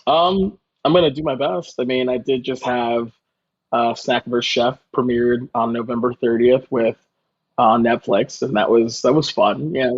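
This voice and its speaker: A man's voice: medium pitch, steady tone, unhurried, no echo, muffled recording. A man chatting casually as a guest chef, with a joking, self-deprecating manner. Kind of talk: casual chat.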